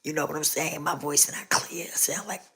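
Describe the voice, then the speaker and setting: A woman hosting a casual live stream, hoarse with a rough, scratchy voice, repeating herself as she talks straight to her viewers. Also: deep voice, level tone, normal speed, pushed and bright.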